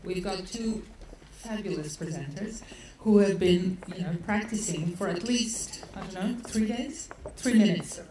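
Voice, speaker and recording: A woman's voice: medium pitch, measured pace, few pauses. A woman from Australia, an event organizer giving chatty opening remarks at a seminar, laughing often and using many fillers. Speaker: event organizer introducing speakers. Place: conference hall. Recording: echoey hall, far from the mic, noisy.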